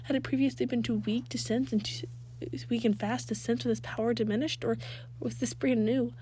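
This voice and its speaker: A woman's voice: a little high, fairly even intonation, a little fast, warm tone, a little hiss or hum. A woman narrating fan fiction as an amateur reader, now and then stumbling and rereading phrases. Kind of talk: scripted narration, reading a story aloud.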